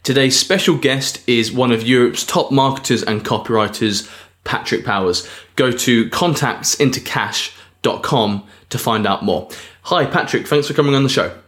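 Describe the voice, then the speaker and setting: A man hosting an online marketing podcast, introducing a guest in a clear, scripted way. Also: medium pitch, normal speed, fairly bright tone. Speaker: podcast host.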